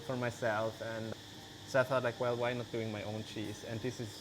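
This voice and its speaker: A man speaks English as an in a loose, conversational way, leaning on "like" and "you know". His voice sits at a middling pitch for a man. The recording is outdoors, with crickets and insect noise plainly heard behind him. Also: normal speed. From Switzerland. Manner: relaxed, many "like" and "you know" fillers. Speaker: guest, vegan cheese maker. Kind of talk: casual interview.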